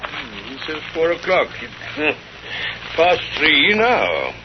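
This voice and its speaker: An actor playing a genial gentleman companion and storyteller in a 1930s radio drama, formal and measured. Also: on the high side, wildly swooping pitch, noisy background, full character voice. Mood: obliging. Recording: muffled 1930s radio recording.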